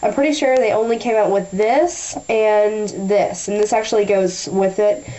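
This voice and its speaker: A young woman talking through a nail tutorial in a chatty way, a little nasal from a sinus infection, often clearing her throat. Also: mid-range voice, fairly even intonation, moderate pace, dark tone, rough recording. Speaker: beauty vlogger. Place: small room at a table.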